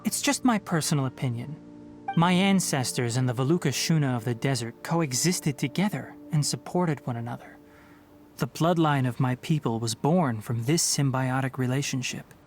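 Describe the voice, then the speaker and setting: A man plays a young, fox-eared forest ranger from a video game. He speaks in measured, articulate phrases with a thoughtful, matter-of-fact manner. Music plays softly behind him. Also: mid-range voice, lightly acted. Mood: earnest, reflective. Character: thoughtful young male forest ranger with animal ears and tail. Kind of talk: video game character dialogue. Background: background music.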